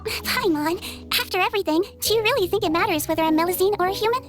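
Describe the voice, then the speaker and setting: Little nurse creature for a video game: extremely high, youthful, gentle, calm, matter-of-fact. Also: female voice, fast talker, faint music behind. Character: small kindly female nurse creature, youthful, calm, candid. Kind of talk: video game cutscene acting.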